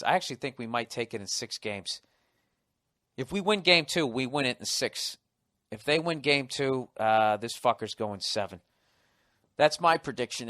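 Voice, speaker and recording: A man's voice: fairly high pitch, fairly bright tone, dry recording, clean studio sound. A man in his forties with a Boston accent, hosting a podcast in a casual comedic monologue, cursing often and riffing sardonically as he reads a listener's letter aloud. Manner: profane, sardonic, riffing between reading lines. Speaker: podcast host.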